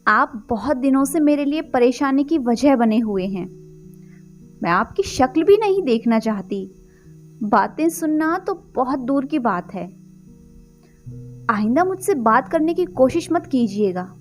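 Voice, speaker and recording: A woman storyteller voicing a proud, indignant young female student with a sharp, formal, cutting manner. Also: sits high, expressive, measured pace, faint music behind. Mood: angry, scornful.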